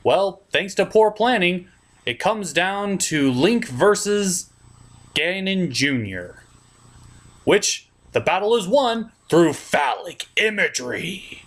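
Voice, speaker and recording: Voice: medium pitch, lively intonation, takes it slowly. A puppet show film reviewer giving a partly scripted comedic review in a crude, mock-exasperated ranting manner.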